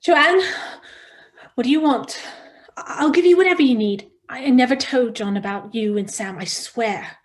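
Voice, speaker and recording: A woman's voice: on the high side, normal speed, lightly acted. A woman playing a panicked, anxious woman fending off a monstrous attacker in a screenplay table read, stammering and pleading.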